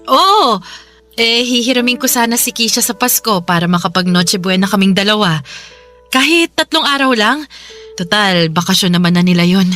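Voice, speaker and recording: A woman's voice: medium pitch, animated, normal speed, a bit bright, soft background music, lightly acted. A woman playing a remorseful, emotional mother who pleads and coaxes in a Tagalog radio drama. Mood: hopeful, pleading. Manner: emotional, coaxing, imploring. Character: pleading, remorseful mother longing for her young child.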